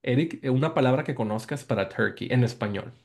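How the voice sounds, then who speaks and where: A man's voice: medium pitch, brisk, darkish tone. A man from Mexico with a Mexican accent, giving an academic presentation over a video call. He explains patiently, repeats key words and checks in with his listeners. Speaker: guest lecturer presenting research. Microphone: video call microphone.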